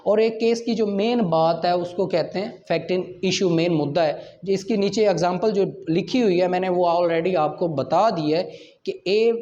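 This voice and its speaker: High-pitched Pakistani male law teacher, muffled audio. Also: darkish tone. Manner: didactic, asks questions and answers them himself. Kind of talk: explanatory exam-prep lecture mixing Urdu with English legal terms. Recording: dull lo-fi lecture audio.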